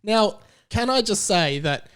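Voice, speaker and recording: A man's voice: sits high, expressive, slow, fairly bright tone, clear, resonant tone. A man chatting casually as a comedy podcast guest, riffing on a story in a wry, mock-serious way.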